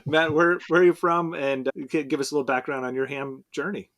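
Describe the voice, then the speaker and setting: A man's voice: fairly high pitch, fairly even intonation, fast, fairly bright tone. A man hosting a podcast, interviewing a guest in a friendly, rambling way. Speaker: podcast host.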